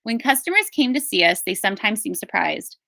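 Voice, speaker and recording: A woman reading a story aloud as scripted narration, in an expressive, emphatic storytelling manner like a teacher with a class book. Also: mid-range voice, brisk, vocal fry, no echo. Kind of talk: scripted audiobook-style narration of a children's memoir.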